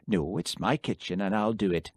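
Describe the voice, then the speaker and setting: A man's voice: on the low side, expressive, moderate pace, husky, dry recording. A man voicing a dour, wry village policeman with a dry, grumbling manner in an audiobook. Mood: resigned. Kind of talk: audiobook character voice.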